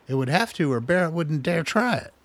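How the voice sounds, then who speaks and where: Defensive scientist, higher-pitched voice. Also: male voice, lively intonation, brisk. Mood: insistent. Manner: earnest, defensive, exasperated. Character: defensive, wry male scientist doctor, anxious and conspiracy-minded. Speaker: actor in a radio play. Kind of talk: naturalistic radio drama acting.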